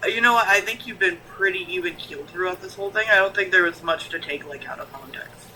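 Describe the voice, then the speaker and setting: Bright, high-voiced chatty man. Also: quick pace, slight background noise, poor audio. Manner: rambling, frank, lots of fillers and restarts. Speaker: guest, YouTube reactor. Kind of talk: casual stream chat.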